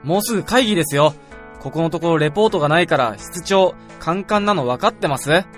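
A man's voice: on the high side, expressive, fast talker, a bit bright, soft background music. A man playing a nagging, exasperated junior lab colleague with an urgent manner in a comedic radio drama.

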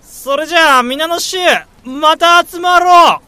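A man playing an excitable, easily embarrassed young drinking buddy in a radio drama, with a whiny, exclamatory manner. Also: extremely high pitch, measured pace, a little hiss or hum, full character voice. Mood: drunken, playful.

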